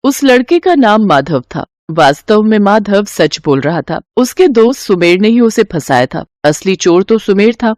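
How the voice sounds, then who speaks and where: A woman's voice: mid-range voice, lively intonation, normal speed, hardly any pauses, darkish tone, no room sound, studio quality. A woman narrating a scripted children's moral story.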